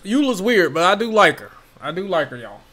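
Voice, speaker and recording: Lively, higher-pitched man commenting on gameplay. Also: brisk. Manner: informal, slangy, talks to viewers. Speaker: gamer playing on a stream or video.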